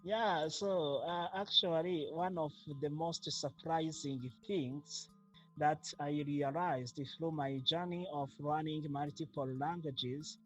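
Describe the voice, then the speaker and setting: A man with a Rwandan accent whose first language is Kinyarwanda, answering interview questions slowly and carefully, with many pauses and fillers. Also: a little high, fairly even intonation, a bit bright, soft background music, low-quality recording. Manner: slow, measured, with frequent fillers. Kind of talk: casual interview.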